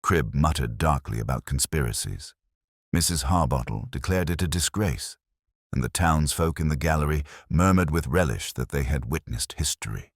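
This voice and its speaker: A man with an extremely low voice narrates a mystery story as a scripted audiobook. His delivery is measured and literary, with lively swings in pitch. The tone is slightly husky and the sound is dry and close. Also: dry recording.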